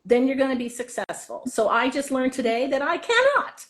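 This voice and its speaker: A woman with a slightly high voice talks as an experienced drama teacher being interviewed. She is chatty and encouraging, offering practical advice informally and repeating words to stress them. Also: very animated, moderate pace.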